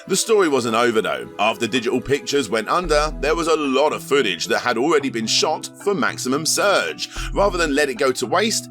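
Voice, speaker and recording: A man's voice: medium pitch, bright, forceful tone. A man narrating a scripted video essay as host, dropping wry sarcastic asides.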